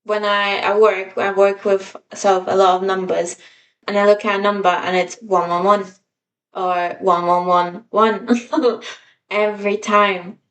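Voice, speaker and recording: A woman's mid-pitched voice, recorded a little away from the microphone. She co-hosts a podcast in an informal, rambling, self-deprecating way, chatting casually. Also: little pitch movement, a little slow, fairly bright tone, recorded from a distance, slight background noise, low-quality recording. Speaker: podcast co-host.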